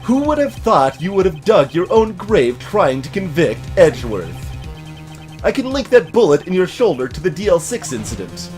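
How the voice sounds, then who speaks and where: Guy doing young video game defense attorney, determined n dramatic, lively higher voice, bit of music under it. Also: moderate pace. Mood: triumphant. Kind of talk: video game dub acting.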